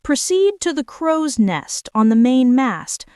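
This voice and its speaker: Lady doing a grumpy bossy sea captain guy from a storybook, pitched up, barking short orders, dry close sound. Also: big pitch swings, slow pace, darkish tone, clean tone, no echo, exaggerated voice. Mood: commanding, irritable. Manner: peremptory, brief commands. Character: bossy, bad-tempered male ship's captain in a storybook.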